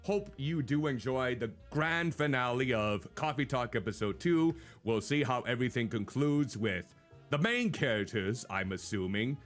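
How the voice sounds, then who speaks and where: A chatty male streamer whose voice is naturally loud and carries at a mid pitch. He rambles on in a relaxed, self-deprecating way, with soft music faintly behind him. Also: normal speed. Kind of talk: casual stream chat.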